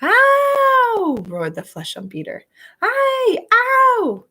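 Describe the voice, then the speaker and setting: A woman's voice: expressive, normal speed, creaky, a bit bright. A woman voicing a huge male giant who screeches and begs in terror during a nightmare, in a storybook reading. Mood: howling. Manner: shrill, pleading, frantic.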